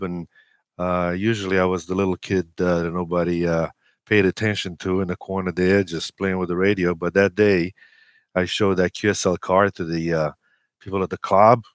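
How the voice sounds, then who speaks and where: A man in his forties with a Romanian accent, a native Romanian speaker, telling personal stories as an interview guest in a halting, hesitant way with many pauses. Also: sits low, a bit bright, clean tone, no echo, clean studio sound. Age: 40s. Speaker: interview guest, amateur radio operator.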